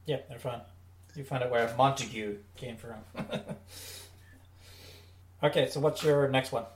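A man's voice: mid-range voice, steady tone, a little slow. A man co-hosting a podcast, chatting casually and giving his recommendations. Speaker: podcast co-host. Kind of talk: casual chat reviewing audio dramas. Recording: roomy, echoey indoor sound.

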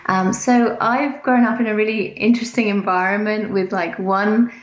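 A woman, a podcast guest talking in a relaxed, talkative way with many ums. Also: mid-range voice, normal speed. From England. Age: 40s. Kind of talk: interview conversation. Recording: muffled roomy remote audio.

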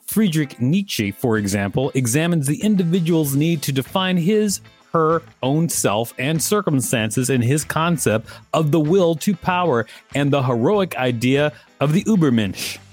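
A man's voice: fairly high pitch, animated, a little slow, few pauses, soft background music. A man co-hosting a podcast, reading an encyclopedia article aloud with playful asides. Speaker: podcast co-host.

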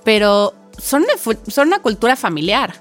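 A Mexican woman co-hosting a podcast, with a voice a little higher than most. She cuts in with quick, jokey asides. Faint music plays underneath. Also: big pitch swings. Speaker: podcast co-host. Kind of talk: casual chat.

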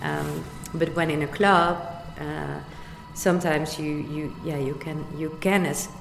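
A woman with a low, creaky voice gives a casual, halting interview answer. She sounds as if in a reverberant hall, with clear music behind her. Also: steady tone. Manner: hesitant, repeating words. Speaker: artist interviewee. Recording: echoey hall, music over voice.

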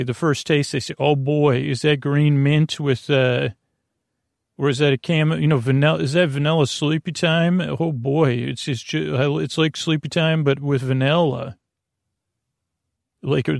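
A man hosting a bedtime podcast in a creaky voice, meandering and repetitive, halting and wandering off on tangents. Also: fairly high pitch, dry recording, clean studio sound. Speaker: podcast host. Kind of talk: rambling bedtime podcast monologue.

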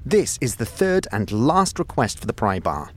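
A man's voice: mid-range voice, very animated, moderate pace. A man voicing a formal, fussy, exasperated mortuary caretaker reading out a written request in an audio drama.

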